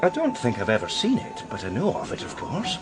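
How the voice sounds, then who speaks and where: An actor playing a discreet male art dealer in a radio crime drama, speaking in a deliberate, hesitant, euphemistic way. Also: mid-range voice, huge pitch swings, over music. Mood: informative, curious. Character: male art dealer George, heartbroken, guarded, discreet old friend.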